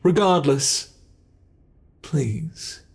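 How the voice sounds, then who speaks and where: A man's voice: on the high side, lively intonation, drawn-out words, creaky, heavily acted. A man voicing an ancient monster in an ASMR roleplay, a smug and possessive captor who talks in a theatrical, teasing, formal way. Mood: teasing, sly. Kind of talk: ASMR roleplay, scripted monologue.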